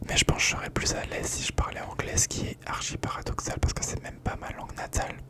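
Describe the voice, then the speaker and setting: A young man whispering a rambling ASMR chat from home, slangy and full of fillers, close to the microphone. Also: fast talker. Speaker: ASMR creator.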